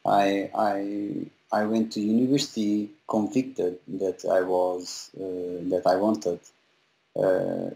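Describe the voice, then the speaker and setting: A young Portuguese man with a gravelly, fry-edged voice speaks slowly in a fairly low register, halting often as he works through his English. He sounds like a guest on a casual video-call interview. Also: little pitch movement, dark tone, poor audio. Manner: halting, many fillers and restarts. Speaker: guest, visual effects compositor.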